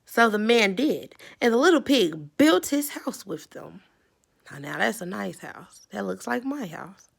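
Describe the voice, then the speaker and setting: A woman's voice: medium pitch, sing-song, a little slow, gravelly. A woman reading a children's story aloud, adding simple instructive explanations as she goes. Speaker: storybook reader.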